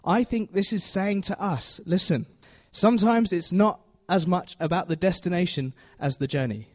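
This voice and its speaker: A man's voice: high-pitched, normal speed, darkish tone. A man giving an informal, conversational teaching sermon, self-deprecating and often checking in with his listeners. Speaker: preacher giving a Bible talk to a congregation.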